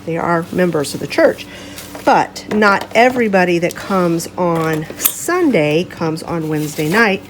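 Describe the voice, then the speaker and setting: A middle-aged woman hosting a casual home vlog, chatting in a rambling, self-correcting way, her voice congested and gravelly from a cold. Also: lowish voice, lively intonation, unhurried, slight background noise. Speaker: vlog host.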